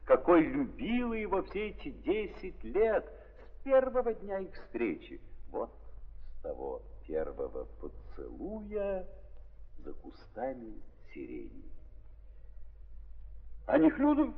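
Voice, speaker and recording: Wildly expressive, husky male theatrical narrator. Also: a little high. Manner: impassioned, repeats phrases with heavy pauses. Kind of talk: 1930s theatrical dramatic narration. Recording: muffled, echoing 1930s archive recording.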